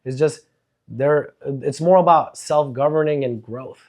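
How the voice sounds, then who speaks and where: A man's voice: medium pitch, a little slow, darkish tone. Slangy American man in casual conversation. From born in Karachi, Pakistan, grew up in South Florida. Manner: slangy, says man, bro and dude often. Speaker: podcast guest, entrepreneur.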